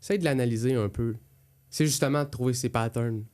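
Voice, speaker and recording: A man's voice: medium pitch, fairly quick, a little mellow. Candid man with a Quebec French accent. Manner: candid, colloquial, acts out inner thoughts. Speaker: podcast co-host. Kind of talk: casual conversational personal testimony.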